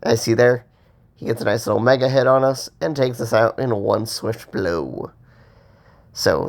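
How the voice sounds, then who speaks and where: A man with a cold casually commenting on gameplay as host, rambling with fillers and pausing for breath. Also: mid-range voice, gravelly, darkish tone. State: has a cold.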